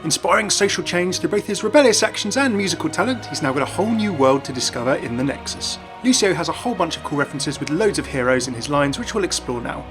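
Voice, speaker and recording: A man's voice: a little high, expressive, fast talker, few pauses. A man hosting a gaming video, reading scripted narration. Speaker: YouTube video host. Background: music.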